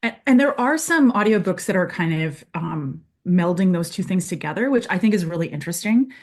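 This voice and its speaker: A chatty woman speaking as a guest in a casual interview. Her voice sits a little low, and she talks loosely with many fillers, breaking into quoted lines now and then. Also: lively intonation, fairly quick. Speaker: co-writer, guest.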